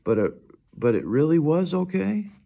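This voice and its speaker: A man voicing a gentle, playful father who reassures and coaxes his young son in a storybook. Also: on the high side, very animated, moderate pace, vocal fry, voice pushed up. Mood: gently checking.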